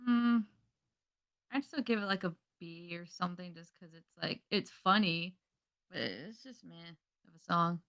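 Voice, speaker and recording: A woman's voice: on the low side, moderate pace, vocal fry, fairly bright tone. A woman giving blunt, jokey opinions in a casual group chat, swearing now and then.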